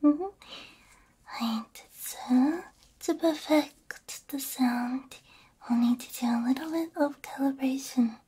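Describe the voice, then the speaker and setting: A woman whispering gently and breathily in an ASMR roleplay as a caring, soothing technician, close to a binaural microphone. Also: sits high, takes it slowly, slight background noise. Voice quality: breathy, mostly unvoiced whisper. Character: caring technician repairing a robot's ears.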